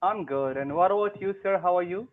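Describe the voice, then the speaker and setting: A man's voice: high voice, brisk, slight background noise. A young man who is learning English, talking hesitantly with many fillers on an online tutoring call. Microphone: video call. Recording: tinny phone-like call audio.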